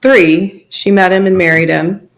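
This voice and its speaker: A woman guest telling her personal story informally in an interview, in halting, pieced-together phrases. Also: fairly low pitch, steady tone, rough recording. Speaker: podcast guest sharing personal testimony.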